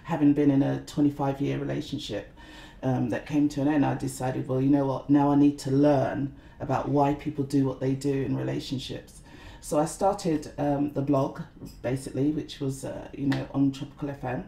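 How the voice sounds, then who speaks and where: A woman's voice: a bass voice, little pitch movement, moderate pace, vocal fry, dark tone, roomy sound. A middle-aged woman, speaking earnestly as an interview guest and often saying "you know". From London, England. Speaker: guest, blogger and conference organiser. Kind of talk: reflective interview talk.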